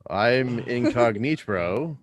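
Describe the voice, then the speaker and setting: A man's voice: medium pitch, animated, slow pace, no echo. A man hosting a podcast in a casual, playful group chat, full of jokey exclamations. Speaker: podcast host.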